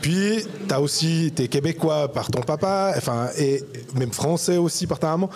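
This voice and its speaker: A man hosting a live podcast in a bar asks questions in a somewhat high voice. He talks chattily and circles around each question, restarting as he goes. His phrasing stays loose and casual. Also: expressive, moderate pace, a little mellow. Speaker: podcast host and sports journalist. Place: bar, live audience event.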